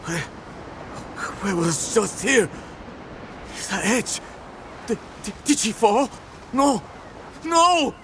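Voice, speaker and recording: Halting, creaky, distraught soul. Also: medium pitch, sing-song, noisy background, exaggerated voice. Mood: distraught disbelief. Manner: halting, stammering. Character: bewildered, distraught person losing their mind. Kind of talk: video game cutscene acting.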